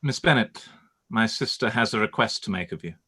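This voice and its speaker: A man playing a courteous, reserved gentleman in a period radio play, speaking formally and with restraint. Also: on the low side, animated, brisk, breathy edge, heavily acted. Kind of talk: live-read period radio play acting.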